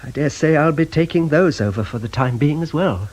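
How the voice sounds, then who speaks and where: Nervy apologetic vicar, stammery, husky, voice goes up and down a lot. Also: male voice, fairly high pitch, lively intonation, brisk, darkish tone. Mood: hesitant. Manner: hesitant, stammering, polite. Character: flustered, apologetic, mild-mannered male locum vicar. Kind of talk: radio drama acting.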